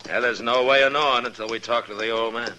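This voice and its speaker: A man's voice: medium pitch, fairly even intonation, fast, bright, forceful tone, lightly acted. A man playing a stern, principled marshal in an old-time radio western, speaking in a firm, plain-spoken, commanding way. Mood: resigned, steady. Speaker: lead actor in radio western. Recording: old radio recording with noise.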